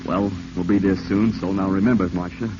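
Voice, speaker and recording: A man's voice: deep voice, fairly even intonation, a little fast, lightly acted. An actor playing a desperate, domineering corrupt lawman plotting murder, clipped and commanding, in 1940s radio drama style. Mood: controlled, scheming. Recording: muffled hissy vintage radio recording.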